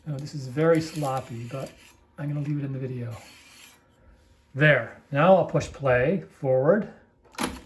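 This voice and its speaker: A man casually demonstrating equipment off the cuff, explaining it in a halting, apologetic, easygoing way as he handles it. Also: a little high, darkish tone, slight background noise. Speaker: amateur reel-to-reel demonstrator. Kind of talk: casual unscripted product walkthrough.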